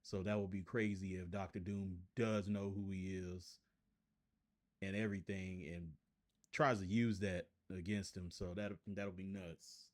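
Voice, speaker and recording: Low voiced guy reacting to a vid, loose chatty rambling, lots of restarts. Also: level tone, a little slow. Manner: rambling, repeats himself, frequent restarts. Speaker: reaction video host. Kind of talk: casual reaction chat.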